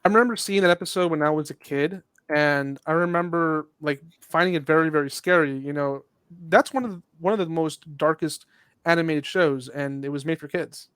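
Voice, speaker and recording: A man talking casually as a guest, his voice a touch higher than most men's. He stumbles and repeats words as he thinks, and the sound is dry and close. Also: fairly bright tone, smooth, dry recording. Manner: halting, stammering, repeats words. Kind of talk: casual chat.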